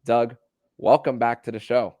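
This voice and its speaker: A man's voice: medium pitch, steady tone, a little slow, dark tone, no echo. A man hosting a sports podcast, talking with energy and often restarting his sentences. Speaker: podcast host.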